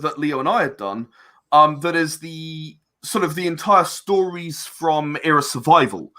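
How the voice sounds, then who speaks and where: A man speaking as a guest in a casual podcast interview, halting and rambling as he explains. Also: fairly high pitch, bright, forceful tone. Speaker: interview guest, audio drama producer.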